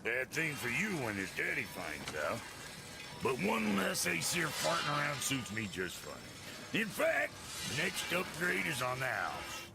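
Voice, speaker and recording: Game role: foul-mouthed dwarf smith, creaky voice, animated, needling delivery. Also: male voice, medium pitch, measured pace, loud music behind the voice, heavily acted. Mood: teasing. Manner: blunt, sarcastic, needling. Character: brash, foul-mouthed, sardonic dwarf blacksmith. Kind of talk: cinematic video game dialogue.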